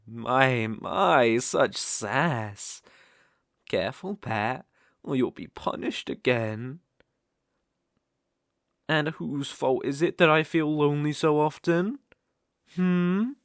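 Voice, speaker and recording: Guy doing a smug obsessive dark elf master, british, asmr roleplay, slow n teasing, really close dry sound. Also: mid-range voice, no room sound. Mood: playful, teasing. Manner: teasing, condescending, coaxing, with soft chuckles.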